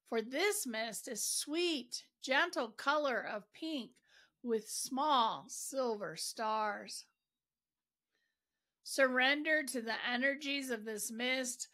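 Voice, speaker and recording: A woman narrates a guided meditation in a fairly high, bright voice. She speaks very slowly and deliberately, placing each phrase with care. Her delivery is gentle and soothing as she leads the listener along.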